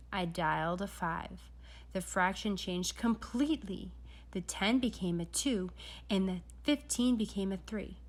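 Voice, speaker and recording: A woman narrating a children's story aloud, with dramatic storytelling emphasis. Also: medium pitch. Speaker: storybook reader.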